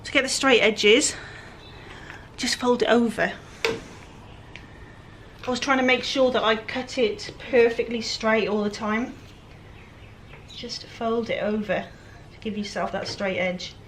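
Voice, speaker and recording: A woman with a croaky, raspy voice, chatting casually while she works through a furniture project. She sounds a little breathless and often lets her sentences trail off quietly. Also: a little high, steady tone, unhurried, a little hiss or hum. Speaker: furniture flipper. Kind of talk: casual how-to chat while working.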